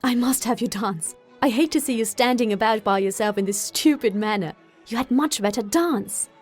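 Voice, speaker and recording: An enthusiastic, sociable young gentleman as put on by a woman narrator in a classic novel reading. The voice is lifted and emphatic, brimming with eagerness. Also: fairly high pitch, normal speed, faint music behind, natural voice. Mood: eager, admiring. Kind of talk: audiobook character voice.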